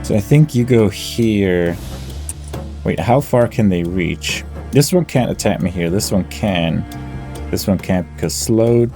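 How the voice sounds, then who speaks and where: Very low, unhurried, mellow male gamer. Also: animated. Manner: deliberate, low-key, talks through tactics. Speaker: gaming YouTuber. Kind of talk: casual let's play commentary, thinking aloud. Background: game music.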